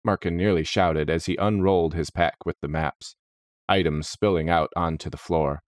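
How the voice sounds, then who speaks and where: A man's voice: deep voice, fairly even intonation, normal speed, dry recording. A man reading scripted fantasy story narration.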